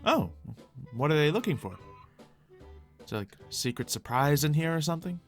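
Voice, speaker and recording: A man's voice: a little high, very animated, moderate pace, faint music behind. A man playing a polite, smug android inventor in an improvised game roleplay, musing in formal, precise wording. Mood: curious, polite.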